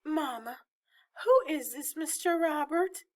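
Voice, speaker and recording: A woman's voice: high voice, sing-song, slow pace, darkish tone, voice pushed up, exaggerated voice. A woman voicing an anxious, questioning Southern mother in a storybook reading. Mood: upset. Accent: Southern American. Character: worried, upset grown Southern mother.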